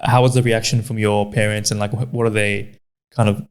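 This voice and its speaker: A man's voice: lowish voice, level tone, a little fast, clean tone. A man in his twenties from Pakistan, whose first language is Urdu, hosting a casual podcast in an easygoing, encouraging way with frequent "yeah"s. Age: 20s. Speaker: podcast host.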